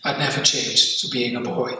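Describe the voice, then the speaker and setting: An older man from the Netherlands with a Dutch accent, slowly telling his life story in an interview, reflective and halting. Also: mid-range voice, steady tone, bright, forceful tone. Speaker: guest. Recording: echoey room, far from mic.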